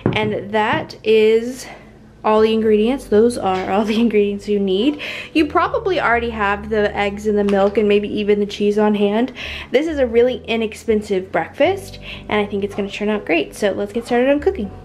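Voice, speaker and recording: A young woman chatting casually through a home cooking video, upbeat and full of fillers, recorded at home with soft music behind. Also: mid-range voice, normal speed, a little hiss or hum. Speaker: cooking vlogger. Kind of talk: casual cook-along narration. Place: living room at home, indoors.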